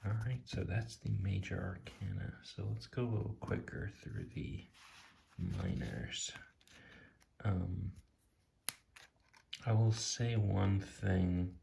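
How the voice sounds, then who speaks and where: A man with a deep, very creaky voice speaks softly in ASMR style. He muses hesitantly, letting words fade into near-whispers. A faint noise sits behind him, with soft clicks and taps. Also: animated, takes it slowly, long pauses. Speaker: tarot deck reviewer. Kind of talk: soft-spoken ASMR, impromptu commentary. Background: soft tapping and clicking.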